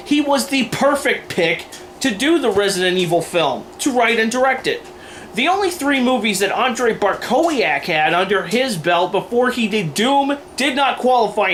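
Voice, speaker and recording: A man with a notably high voice hosts an opinion video. He delivers scripted commentary with sarcasm and firm emphasis. There is faint room noise behind him. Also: fairly bright tone. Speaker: video host. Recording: noisy room recording.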